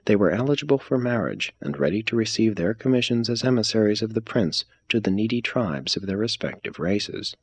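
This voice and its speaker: A man reading a religious text aloud as scripted narration, in a measured, formal manner. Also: lowish voice, expressive, slightly rough, dry recording. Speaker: reader.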